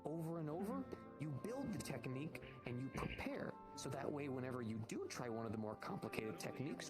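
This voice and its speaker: A man's voice: fairly high pitch, low-quality recording. A man improvising an encouraging veteran monster hunter in virtual reality roleplay, explaining things to a rookie and repeating phrases for emphasis. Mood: earnest. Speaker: roleplayer playing a guild instructor. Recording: loud music behind a livestream voice chat. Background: loud music.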